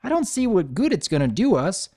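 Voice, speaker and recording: A woman's voice: medium pitch, very animated, brisk, dark tone, slightly rough, pitched above the performer's own voice. Woman doing a folksy country farm filly. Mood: cheerful. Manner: country drawl, folksy phrasing. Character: folksy country farm girl pony, cheerful.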